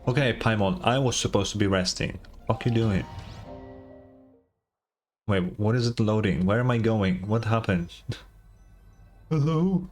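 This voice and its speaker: A man streams a video game in a fairly low voice. He comments casually and reads story text aloud as he goes. Game music plays in the background. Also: a little slow, pauses often.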